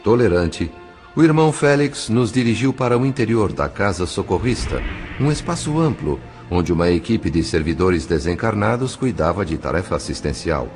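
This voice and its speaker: A man reading scripted narration for an audio novel in a formal, literary, measured manner. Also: sits low, sing-song, a little mellow. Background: faint music.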